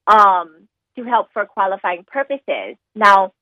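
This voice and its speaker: A woman's voice: mid-range voice, slow pace, sharp tone, dry recording. A woman who works as a mortgage lender, explaining loan rules in a conversational way with plenty of ums and likes. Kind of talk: explaining on a talk show. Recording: tinny phone line.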